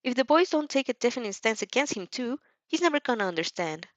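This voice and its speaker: A woman's voice: fairly high pitch, very animated, fast, no echo, dull audio, pitched above the performer's own voice. A woman voicing a spirited, opinionated teenage schoolgirl with an emphatic manner in a story reading. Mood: indignant.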